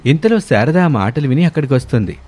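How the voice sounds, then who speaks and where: A man's voice: medium pitch, lively intonation, quick pace, darkish tone. A man reading a scripted children's story as its narrator. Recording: music under the voice. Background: background music.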